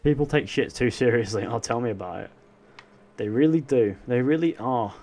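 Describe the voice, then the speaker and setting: A man's voice: mid-range voice, moderate pace, darkish tone, faint music behind. A young man, chatting casually and answering chat questions while playing a game live. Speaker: gaming livestreamer.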